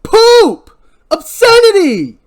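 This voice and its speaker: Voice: mid-range voice, expressive, slow pace, darkish tone, pitched above the performer's own voice. A podcast reader playing an angry, drunk young man in a hammy amateur script reading. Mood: loud outburst.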